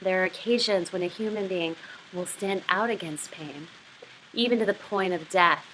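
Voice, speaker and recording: A woman's voice: fairly low pitch, fairly bright tone, a little hiss or hum, natural voice, low-quality recording. Woman reading as a coldly lecturing male villain. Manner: measured, deliberate, didactic. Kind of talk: literary reading of novel dialogue.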